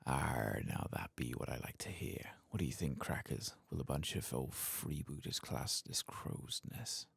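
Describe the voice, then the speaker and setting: Guy putting on a jolly old pirate from a game, soft but hearty dialect, low and gravelly. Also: animated, measured pace, no room sound, heavily acted. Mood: jovial. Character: jovial old pirate freebooter with a parrot.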